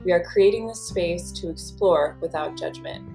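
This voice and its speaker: A woman reads a scripted podcast intro in a calm, measured way. Her voice is slightly low, and soft guitar music plays quietly behind her. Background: faint guitar music.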